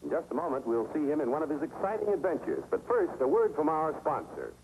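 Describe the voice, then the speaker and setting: A man's voice: a little high, sing-song, fast talker, a little mellow. A man announcing a 1950s television serial in a dramatic, showy style, on a noisy old soundtrack.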